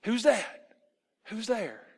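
Storybook lead: scared little boy, voiced by a man, high, pleading, stammering, in long reverb. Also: fairly even intonation, a little fast, vocal fry. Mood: startled. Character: frightened, pleading young boy, timid and stammering.

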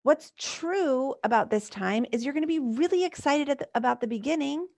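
A woman's voice: high voice, expressive, fairly quick, darkish tone. A woman coach leading a live coaching session, reflecting the client's patterns back with probing questions and many "like" fillers.

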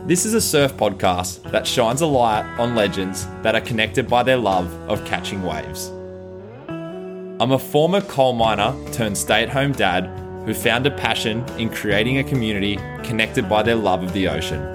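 A man's voice: on the low side, lively intonation, moderate pace, music in the background. An Australian man who hosts a podcast, talking casually and reading a children's storybook in a playful, encouraging way. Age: adult. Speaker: podcast host.

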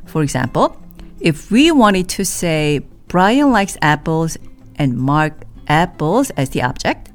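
A woman teaching a language in a scripted podcast lesson, saying model phrases slowly and clearly for listeners to repeat. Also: deep voice, very animated, faint music behind.

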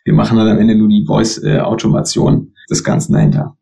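A man's voice: lowish voice, monotone, brisk, vocal fry, mellow, husky. A man as interview guest, explaining a voice product at length with frequent fillers. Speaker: guest, tech company expert.

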